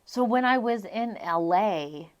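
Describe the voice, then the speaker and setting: A young adult woman from Las Vegas, a singer being interviewed, telling her stories in an animated way and laughing often. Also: medium pitch, takes it slowly, highs cut off. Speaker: guest singer. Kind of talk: casual interview chat.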